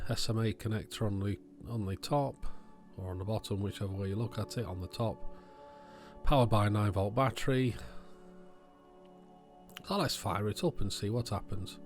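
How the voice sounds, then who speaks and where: Male hobbyist host, lowish pitch, casual and matter-of-fact, unscripted bench commentary, faint music. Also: a little mellow. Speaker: electronics hobbyist video host. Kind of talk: casual hands-on unboxing and testing commentary.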